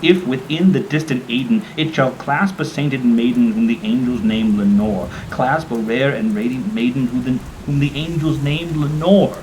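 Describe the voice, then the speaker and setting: Guy doing a grieving tormented gothic man, super theatrical old timey talk, medium pitch, bit of rainy noise behind. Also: moderate pace, few pauses, a little mellow, close to an everyday voice, rough recording. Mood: desperate pleading. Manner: theatrical, impassioned, archaic diction. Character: grieving, tormented man pleading and raging at a raven. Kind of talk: dramatic gothic poetry acting.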